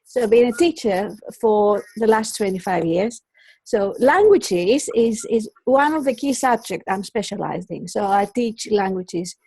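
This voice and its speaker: A middle-aged woman with a Greek accent, a native Greek speaker, telling her own story as an interview guest in an expressive, wandering way with repeated words. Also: medium pitch, measured pace, breathy edge, dry recording, rough recording. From Greece. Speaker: interview guest, colour psychologist and teacher.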